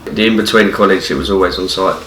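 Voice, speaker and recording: A young English man speaks hesitantly in a low, flat voice, full of fillers. He sounds distant in a roomy space, with soft music behind. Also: fast talker, slight background noise. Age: young adult. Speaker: qualified apprentice. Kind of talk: casual interview answers.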